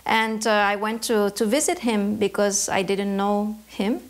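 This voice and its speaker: A woman from Canada, an embroidery artist, answering interview questions in a thoughtful way, often pausing to search for words. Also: medium pitch, fairly even intonation.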